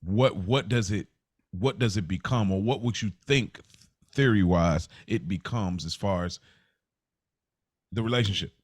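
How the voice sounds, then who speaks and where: An American man co-hosting a podcast, thinking aloud in a halting, informal way as he chats and asks questions. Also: on the low side, breathy edge, no room sound, clean studio sound. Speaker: podcast co-host. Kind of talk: casual chat, probing questions.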